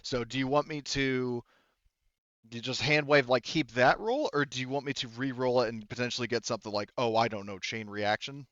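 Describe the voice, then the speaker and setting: A man with a smooth, clear voice runs a tabletop roleplaying game in an informal way, thinking aloud through the rules. The recording is very dry and slightly dull. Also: medium pitch, a little fast, fairly bright tone, no room sound. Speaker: game master. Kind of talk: casual tabletop game narration with dice rulings.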